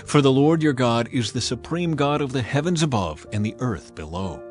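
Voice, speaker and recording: Guy reading the part of a pleading woman of old jericho, earnest bible drama, soft music under. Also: mid-range voice, expressive, moderate pace, lightly acted. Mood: fearful, pleading.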